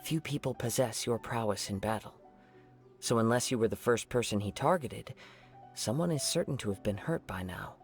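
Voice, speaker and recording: A calm, courteous young samurai, voiced by a man, speaking in a measured, formal way with an even middle voice. Faint music sits under his lines in this video game scene. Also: mid-range voice, natural voice.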